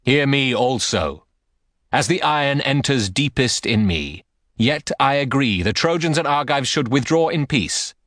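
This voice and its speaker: A man playing a wronged warrior king who speaks gravely and solemnly across a battlefield. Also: mid-range voice, lively intonation, unhurried, a bit bright, no echo, clean studio sound, pitched above the performer's own voice. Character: aggrieved veteran king calling for oaths.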